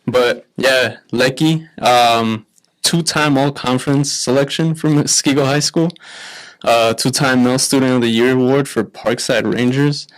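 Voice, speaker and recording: A man's voice: mid-range voice, steady tone, a little slow, poor audio. Casual man co-hosting a podcast. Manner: casual, filler-heavy, reading out a list. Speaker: podcast co-host.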